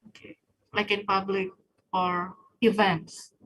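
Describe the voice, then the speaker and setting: A woman's voice: mid-range voice, flat delivery, takes it slowly, fairly bright tone, slight background noise, low-quality recording. A woman hosting a webinar over a video call, mostly giving brief acknowledgements and asking short follow-up questions. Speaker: webinar host. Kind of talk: interviewing in a webinar.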